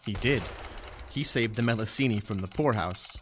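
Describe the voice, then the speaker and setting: A man's voice: fairly low pitch, normal speed, a bit bright, a little hiss or hum, lightly acted. Earnest young army captain played by a man. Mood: reflective, candid. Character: young Roman army captain, earnest, respectful, well-bred. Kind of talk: audio drama acting.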